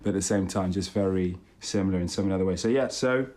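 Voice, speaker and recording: A man's voice: low-pitched, quick pace, a little mellow. A young British man, a podcast co-host, telling his own story in a chatty, informal, self-deprecating way. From born in London, raised partly in Italy and England. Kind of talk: casual personal storytelling.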